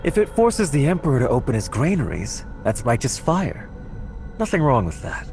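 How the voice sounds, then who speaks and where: An actor playing a cunning, persuasive schemer in a dramatic video game cutscene, with measured phrasing. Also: male voice, mid-range voice, big pitch swings, darkish tone, faint music behind. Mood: earnest. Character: cunning, smooth-talking male schemer recruiting thieves for a heist.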